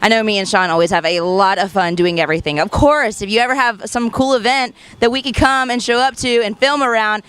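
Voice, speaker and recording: A woman's voice: fairly high pitch, steady tone, a little fast, few pauses, fairly bright tone, clear, resonant tone, no room sound. Chatty woman radio host. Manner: chatty, playful. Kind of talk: casual show wrap-up.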